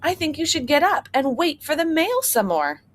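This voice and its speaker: A woman's voice: high-pitched, sing-song, normal speed, voice pushed up. A woman voicing a caring, encouraging male frog, a loyal friend in a children's storybook, speaking in a coaxing way. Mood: coaxing, hopeful. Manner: concerned, gently coaxing.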